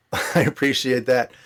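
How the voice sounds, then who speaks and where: A man's voice: mid-range voice, fairly even intonation, slow pace, a bit bright. A man hosting a fiction podcast, interviewing guests and narrating stories. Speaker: podcast host and story narrator.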